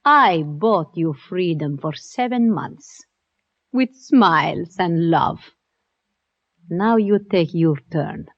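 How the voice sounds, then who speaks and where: Radio drama role: jaded, proud underworld woman, unhurried, scornful speech, lo-fi vintage sound. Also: fairly low pitch, expressive, heavily acted. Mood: bitter, weary. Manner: declamatory, scornful. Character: sullen, defiant, world-weary woman of the underworld. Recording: dull, lo-fi old radio recording.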